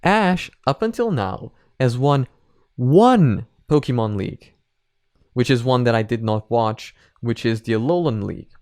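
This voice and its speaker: A man with a medium-pitched, clean and resonant voice delivers casual commentary as a video host. He rambles emphatically, informal and a little sweary. The sound is close and dry, free of room echo. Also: lively intonation, a little slow, darkish tone. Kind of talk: casual opinion commentary on anime.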